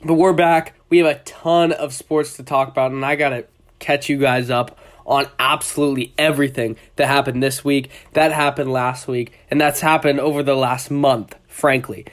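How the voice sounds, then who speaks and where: Congested young man hosting sports talk. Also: mid-range voice. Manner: loose, rambling, self-correcting. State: congested from a cold. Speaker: podcast host. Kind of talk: casual sports talk podcast.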